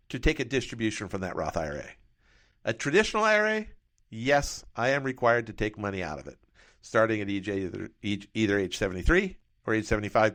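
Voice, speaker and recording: A man's voice: on the low side, fairly bright tone. A man working as a financial advisor, explaining retirement tax rules through first-person hypothetical examples.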